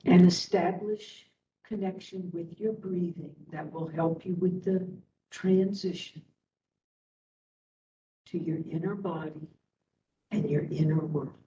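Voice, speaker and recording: A woman instructor leading a guided meditation in a soothing manner, speaking in short phrases with long pauses. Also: steady tone, dark tone. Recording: roomy, distant mic, faint rumbling noise.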